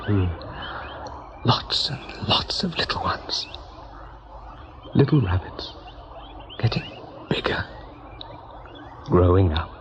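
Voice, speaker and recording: Slow, weary old rabbit leader. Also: male voice, deep voice, fairly bright tone, exaggerated voice. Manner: slow, halting delivery. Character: old, tired, gentle male rabbit leader. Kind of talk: radio drama acting. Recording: dull, noisy 1980s radio recording.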